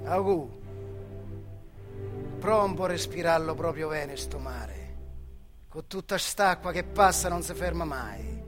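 An actor with a central Italian Marche dialect playing old factory workers, wistful and bickering comrades, in a theatrical radio monologue with an earthy, colloquial manner. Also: male voice, fairly high pitch, lively intonation, unhurried, pauses often, music in the background. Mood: gentle, coaxing. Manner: colloquial, earthy, shifting between voices in dialogue.

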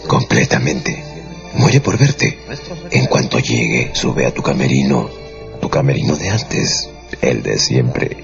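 An actor playing an insistent, theatrical gentleman in a radio drama. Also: rumbling low, sing-song, slow, vocal fry, exaggerated voice. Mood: smooth, persuasive. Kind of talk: Spanish-language radio drama acting. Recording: dull radio drama with music behind.

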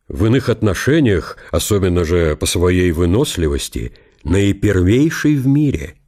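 A man's voice: sits low, darkish tone. A man playing a proud old count in a radio play, speaking in an oratorical, declamatory manner. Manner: oratorical, grandiloquent. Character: proud, eloquent old count and statesman back from exile.

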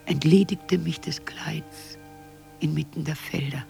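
An old woman looking back on small-town life in a radio drama. Her voice is heavily creaky and breathy. She speaks very slowly and haltingly, reflective and wistful, with faint music behind her. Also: sits low, steady tone, mellow, whispered, heavily acted. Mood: wistful, brooding. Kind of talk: poetic radio drama monologue.

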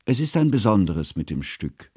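An actor playing a bookish, earnest, fussy scholar, narrating a literary radio play in a measured, formal, pedantic way. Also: male voice, lowish voice, sing-song, darkish tone, husky, no room sound, clean studio sound. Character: bookish, earnest, fussy male scholar recounting his friend's life.

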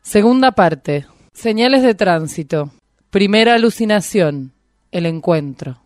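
A woman's voice: mid-range voice, lively intonation, a little slow, a little mellow. A woman announcing a radio drama, reading out titles in a clipped, declamatory way. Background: music.

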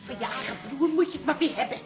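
A woman's voice: sits high, quick pace, soft background music, close to an everyday voice. An actress playing a contrite, fretful pub landlady with a plaintive manner in a radio comedy-drama. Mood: defensive, sheepish.

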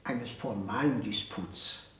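An actor playing a dryly skeptical, pedantic court mathematician in a 1960s German radio play, measured and politely evasive. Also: male voice, lowish voice, fairly bright tone, reverberant.

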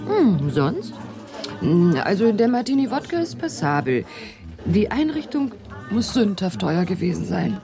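A woman's voice: mid-range voice, sing-song, measured pace, vocal fry. An actress playing a cool, observant female police assistant in a 1980s German crime radio play, curious and dryly composed. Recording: studio radio play with music behind.

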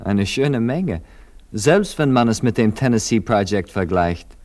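An actor playing a curious, courteous tourist in a radio drama. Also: male voice, lowish voice, expressive, measured pace, darkish tone. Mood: politely curious. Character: curious, courteous American male tourist marvelling at mountains.

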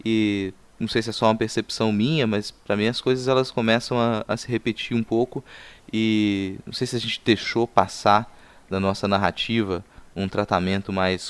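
Brazilian male podcast host: low, clean resonant voice, thoughtful and halting, conversational questioning. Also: clear, resonant tone. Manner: halting, repeats words while forming long thoughts. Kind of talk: conversational, reflective interview questioning.

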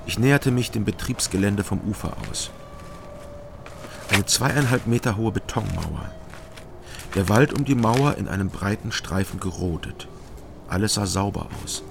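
An actor playing a wary East German night watchman and investigator in a German radio crime drama, with gravelly dialogue and first-person narration. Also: on the low side, animated, moderate pace, pauses often, background noise. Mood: sober narration.

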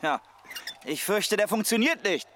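A man's voice: sits high, lively intonation, a little slow, a bit bright, slight background noise. An actor playing a hapless, grumbling man in a German radio drama, hesitant and dryly sarcastic. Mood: polite, calling out. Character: hapless, grumbling male client on an absurd quest.

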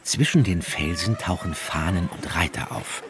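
A man narrating a literary radio play from a script in a measured, descriptive manner. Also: low voice, noisy background.

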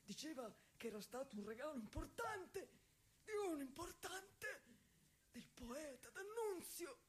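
A woman playing a gentle, wistful husband confined in an asylum, in an intimate stage monologue with halting, simple, repetitive, emotive phrasing. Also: sits high, very animated. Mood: fond, wistful.

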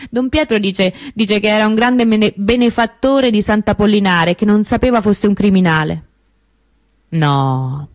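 An actress playing the grieving, bitter sister of a kidnapped girl in a radio monologue, measured and emphatic. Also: mid-range voice, a little mellow, no room sound, lightly acted. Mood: indignant, grieving.

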